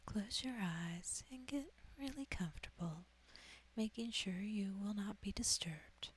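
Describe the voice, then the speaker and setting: Whispering woman, slow ASMR meditation guide. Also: medium pitch. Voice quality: breathy, whispery. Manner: soothing, gentle, unhurried.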